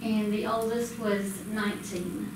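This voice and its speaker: A woman's voice: medium pitch, flat delivery, measured pace. A middle-aged woman giving a casual, um-filled report to a school board. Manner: conversational, with many ums. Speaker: school department presenter. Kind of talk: informal report at a public meeting. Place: school board meeting room. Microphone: distant room mic, standing speaker. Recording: echoey room from far off, noisy.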